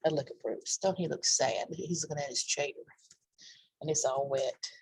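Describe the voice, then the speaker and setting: A woman with a husky voice reading a picture book aloud to young children, pausing for simple asides about the pictures. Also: deep voice, fairly even intonation, darkish tone, no echo, rough recording. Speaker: children's library storytime reader.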